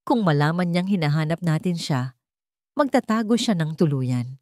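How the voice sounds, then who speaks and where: A woman voicing a solemn, resolute and protective king in storybook dialogue, speaking gravely and with firm emphasis. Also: low-pitched, expressive, moderate pace, darkish tone, no echo, studio quality. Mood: serious, determined.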